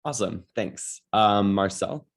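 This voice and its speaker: A man moderating an online webinar, giving advice in a casual, halting way full of ums and likes. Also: on the low side. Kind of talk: casual presentation.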